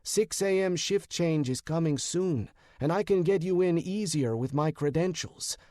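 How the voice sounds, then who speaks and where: Male narrator as hot-headed cop buddy: loud, incredulous, wisecracking, pitched up, close dry sound. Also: unhurried, dry recording, natural voice. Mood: insistent, exasperated. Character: exasperated, sarcastic, hot-tempered cop friend, male. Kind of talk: audiobook character voice.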